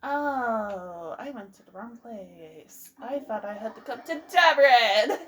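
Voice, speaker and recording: A woman comments casually on her own gameplay. Her voice is high and very expressive. She takes her time with a slow, loose delivery. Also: a little hiss or hum. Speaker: gamer, let's player.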